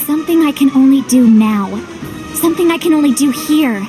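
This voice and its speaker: An actress playing an earnest, determined young heroine in a video game cutscene, speaking emphatically over music. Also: female voice, high voice, fairly quick, lightly acted. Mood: reflective. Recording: loud music behind.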